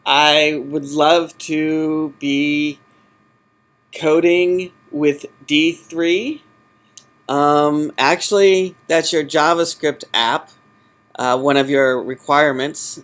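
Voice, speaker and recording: A man answering as a job candidate in an informal interview, halting and often correcting himself. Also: a little high, steady tone, a bit bright. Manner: halting, hesitant, self-correcting. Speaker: job candidate in user experience design.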